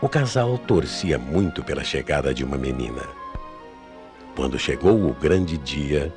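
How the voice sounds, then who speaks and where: A man's voice: very deep voice, lively intonation, unhurried, music in the background. A man with a Brazilian accent narrating a scripted radio mystery story in a dramatic storytelling style.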